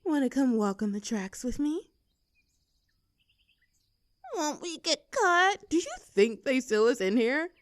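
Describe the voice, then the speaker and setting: Woman acting a high-voiced, taunting mean-girl student bully. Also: animated, takes it slowly, pauses often, no echo. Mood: daring, goading. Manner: mocking, sarcastic, haughty, taunting. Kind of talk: scripted roleplay audio drama.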